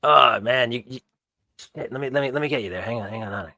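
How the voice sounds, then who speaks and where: A man playing a tabletop roleplaying game, improvising actions and in-character speech in a halting, repetitive way. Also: mid-range voice, bright, forceful tone, dry recording. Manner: halting, repeats words.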